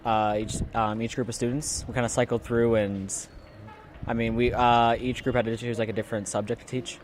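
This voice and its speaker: A young male student chatting casually and haltingly in an outdoor interview. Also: mid-range voice, flat delivery, a little hiss or hum. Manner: halting, tentative. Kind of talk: casual chat. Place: outdoors in a city.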